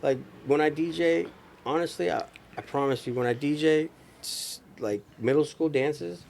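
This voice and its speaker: Gravelly American man in casual chat. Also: a little high, fairly even intonation, normal speed, darkish tone, a little hiss or hum. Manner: slangy, emphatic. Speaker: DJ and recording engineer, podcast guest. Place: small room with couches, indoors.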